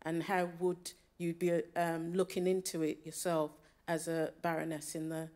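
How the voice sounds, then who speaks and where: A woman's voice: sits low, monotone, moderate pace, a little mellow. A woman asking a question from the audience at a public discussion, phrasing it earnestly and carefully. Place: theatre auditorium.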